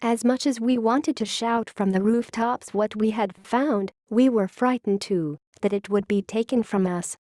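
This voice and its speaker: A woman playing a wistful, grave founding scientist who confesses a secret history in a measured, literary audio-log monologue. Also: a little high, mellow, no room sound, close to an everyday voice. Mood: wistful, earnest.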